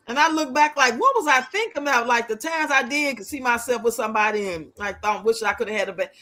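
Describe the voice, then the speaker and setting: A woman's voice: a little high, fairly bright tone. A woman of fifty-nine hosting a casual livestream, chatting with viewers in a blunt, outspoken, colloquial way. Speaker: livestream host.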